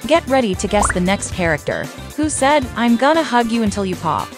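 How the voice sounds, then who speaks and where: A woman's voice: on the high side, sing-song, fairly quick. A woman reading scripted quiz narration as a host, in a voice-over. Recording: music playing behind the voice.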